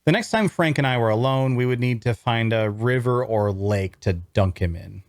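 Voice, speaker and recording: A man playing a wry young traveler, telling his fantasy adventure in measured, dramatic first-person narration at a table read. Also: medium pitch, dry recording, clean studio sound, natural voice. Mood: wry, observant. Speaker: voice actor.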